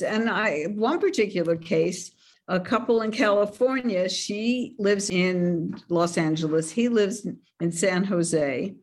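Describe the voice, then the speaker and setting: A woman author answering interview questions with confident, emphatic advice. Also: mid-range voice. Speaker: author and dating expert guest.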